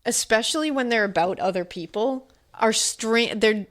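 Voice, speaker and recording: A woman co-hosting a true-crime podcast in casual chat, animated and repeating her points for emphasis. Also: a little high, moderate pace. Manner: animated storyteller, repetitive emphasis. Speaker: podcast co-host.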